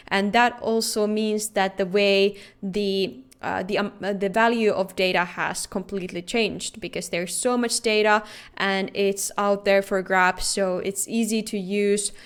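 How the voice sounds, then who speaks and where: A woman whose first language is Finnish gives a remote conference talk. Her voice is smooth and clear at a middle pitch, and she speaks earnestly, often pausing on fillers and restarting her sentences. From Finland.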